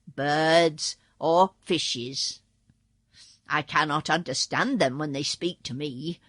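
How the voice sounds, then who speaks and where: A woman voicing a sullen, remorseful old male ferryman in a storybook reading, speaking low and slow. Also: a bit bright, no echo, clean studio sound, heavily acted.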